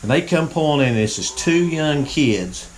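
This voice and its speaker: A man's voice: on the high side, lively intonation, moderate pace. A man telling a long folksy story in casual chat, acting out what people said. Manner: folksy, acts out quotes. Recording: crowd noise behind.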